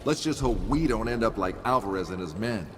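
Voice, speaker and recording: A man's voice: lowish voice, expressive, a little fast, close to an everyday voice. A man playing a brash, wisecracking treasure hunter in a video game, with a flippant, wry manner. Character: wisecracking, brash male treasure-hunting adventurer. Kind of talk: video game cutscene dialogue and narration. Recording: loud music over the voice.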